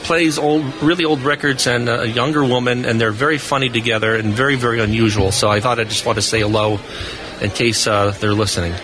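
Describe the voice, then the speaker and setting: A man's voice: mid-range voice, steady tone, brisk. A middle-aged or older man, an engineer, chatting casually as a guest in a live radio interview. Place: convention hall booth. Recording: noisy live event floor broadcast. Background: busy convention floor noise.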